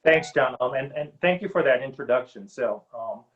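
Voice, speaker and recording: A middle-aged man from Hawaii speaking earnestly as a panel guest on a video call, with many pauses and ums. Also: mid-range voice, animated, highs cut off. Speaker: panel guest, Native policy advisor. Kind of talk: webinar panel talk.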